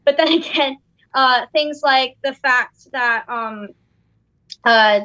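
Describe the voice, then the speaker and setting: A woman's voice: high-pitched, slow pace, bright, forceful tone. A young woman in casual, opinionated chat with a hesitant, rambling delivery. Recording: dull, roomy sound.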